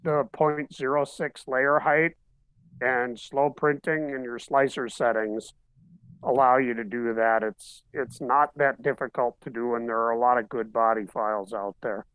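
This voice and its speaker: A man in his fifties on a video call from his living room, casually showing off 3D printed model car bodies in a relaxed, matter-of-fact way. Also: medium pitch, unhurried, clear, resonant tone, no echo, poor audio. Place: home living room, seated in armchair. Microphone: webcam on video call.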